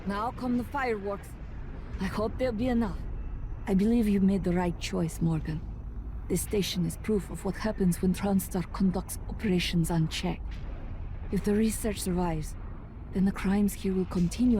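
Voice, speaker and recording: A serious, principled woman from a video game, voiced by an actress, with a mellow, dark-edged voice at middling pitch. She speaks earnestly and steadily, a little wistful, with light noise behind her. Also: normal speed, lightly acted. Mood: somber resolve.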